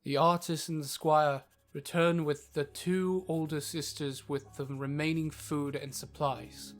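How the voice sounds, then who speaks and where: A man's voice: on the high side, steady tone, measured pace. A man reading scripted narration for a fantasy audio drama, recounting the tale in the third person.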